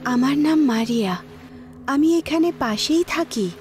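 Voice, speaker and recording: A woman's voice: high voice, measured pace, over music. Woman voicing an eerie young ghost witch. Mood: coaxing.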